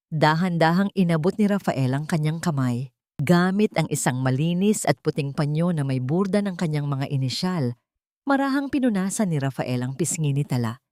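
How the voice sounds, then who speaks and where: Woman, storyteller, lowish voice, solemn dramatic narration, dry recording. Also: darkish tone, dry recording.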